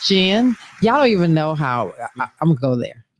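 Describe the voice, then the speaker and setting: A woman's voice: deep voice, very animated, moderate pace, over a noisy crowd. A woman hosting a candid talk show, emphatic and encouraging, often repeating herself for weight. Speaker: show host.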